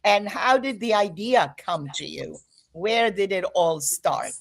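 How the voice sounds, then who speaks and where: A woman's voice: medium pitch, expressive, slow pace, vocal fry. A woman hosting an online panel of filmmakers, guiding them with friendly instructions over a video call. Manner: friendly, directive, gives instructions. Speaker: festival host moderating filmmakers.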